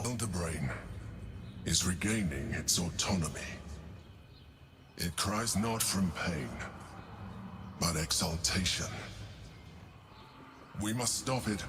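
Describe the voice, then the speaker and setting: Deep, commanding, mysterious mentor. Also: male voice. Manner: measured, grave. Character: calm, commanding, mysterious male mentor, coolly calculating. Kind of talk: dramatic game cutscene acting.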